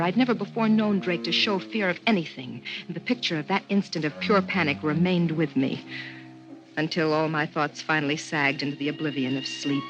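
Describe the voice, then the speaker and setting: A woman's voice: fairly low pitch, natural voice. An actress playing an anxious, probing wife who tells her story in a 1950s radio drama, earnest and carefully enunciated. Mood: haunted, reflective. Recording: muffled 1950s radio recording with music.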